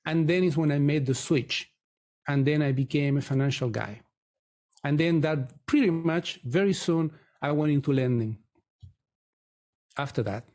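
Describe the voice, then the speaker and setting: A man's voice: a little high, measured pace, pauses often, a little mellow. A middle-aged man from Chile, a Spanish speaker, telling his story conversationally as an interview guest. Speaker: podcast guest, mortgage broker.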